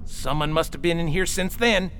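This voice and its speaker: Male gang leader, played by a man, radio play: impatient, scolding, sharp tone, slight noise behind. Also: a little high, normal speed, close to an everyday voice. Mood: curt. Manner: commanding, scolding. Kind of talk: audio drama acting.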